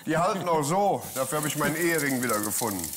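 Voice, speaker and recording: A man's voice: fairly high pitch, expressive, quick pace. A middle-aged man hosting a cooking show from a studio kitchen, bantering in a teasing, mock-indignant way. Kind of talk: joking banter while cooking. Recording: studio with clear background noise.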